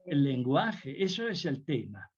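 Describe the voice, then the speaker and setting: An older man from Italy with an Italian accent, a guest lecturer answering questions on a video call, speaking haltingly with many restarts. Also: on the high side, sing-song, darkish tone, slightly rough. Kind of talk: Zoom talk Q&A. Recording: muffled video call audio.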